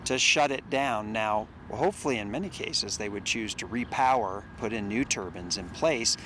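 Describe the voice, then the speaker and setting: A man's voice: on the low side, moderate pace, pushed and bright, slight background noise. A man in his fifties, a research director, answering interview questions outdoors in a thoughtful way with restarts. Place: outdoors by a campus building.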